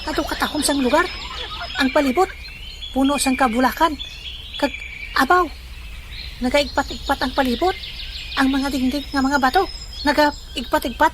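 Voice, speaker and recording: A woman playing an excitable, baffled old man in a comic audio drama, exclaiming and questioning. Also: sits high, normal speed, long pauses, noisy background, lightly acted. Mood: amazed, curious.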